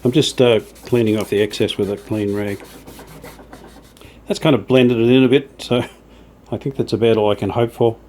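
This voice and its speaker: A man's voice: mid-range voice, fairly even intonation, normal speed, long pauses, a little mellow. A man casually talking through hands-on work as he does it, understated and a little tentative, thinking aloud. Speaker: host of a hobby radio restoration channel. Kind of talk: casual hands-on workshop narration while working. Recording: clear background noise, sanding and rubbing sounds. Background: rubbing and sanding while working.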